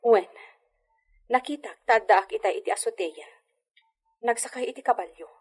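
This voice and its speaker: An actress playing a gentle, devoted, trusting young wife in a radio drama, earnest and plaintive. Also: female voice, a little high, moderate pace, slightly rough. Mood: curious.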